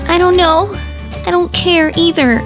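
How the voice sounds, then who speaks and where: A woman's voice: very high-pitched, steady tone, measured pace, over music. A woman voicing a small, grumpy, nervous female chihuahua in a visual novel, snappy and sardonic. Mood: irritated. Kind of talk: character dialogue in a visual novel.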